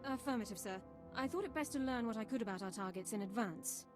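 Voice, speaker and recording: A woman's voice: a little high, fast, close to an everyday voice. A woman playing an earnest, deferential military subordinate in a video game, formal and dutiful. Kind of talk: video game cutscene acting. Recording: game soundtrack music behind.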